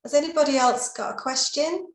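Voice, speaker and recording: A woman leads a patient panel over a video call. Her voice sits a little high, and she keeps her phrasing polite, measured and orderly. An echoey room rings around her. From England. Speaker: panel moderator.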